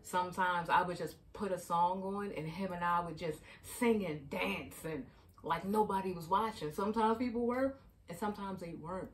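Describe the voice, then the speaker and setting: A woman's voice: fairly low pitch, moderate pace, recorded from a distance. A young adult woman from Baltimore, a life coach chatting casually and telling stories about healing your inner child. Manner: chatty, storytelling, frequent "you know what I mean". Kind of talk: casual advice vlog.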